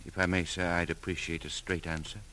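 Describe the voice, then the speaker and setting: Low-voiced, guarded, deferential manservant. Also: male voice, level tone, brisk, pushed and bright. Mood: deferential, probing. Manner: formal, deferential, careful. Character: polite, guarded male servant with hidden motives. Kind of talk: classic radio drama acting. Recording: humming, noisy vintage radio recording.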